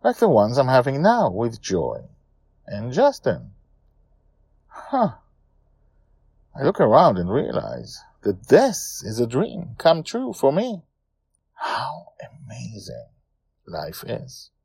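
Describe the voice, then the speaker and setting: A man voicing a reflective, wistful old grandfather who tells his dream adventure story in a musing, unhurried way. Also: medium pitch, huge pitch swings, gravelly, a little mellow, husky, dry recording, exaggerated voice. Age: older. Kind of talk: first-person audio story narration.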